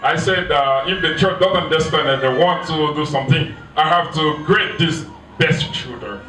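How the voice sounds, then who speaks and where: Man, pastor, short halting phrases, bright forceful tone, echoey church hall, distant mic. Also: a little high, a little hiss or hum. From Haiti. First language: Haitian Creole. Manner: speaks in short phrases with pauses for translation, non-native grammar. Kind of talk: sermon delivered through an interpreter.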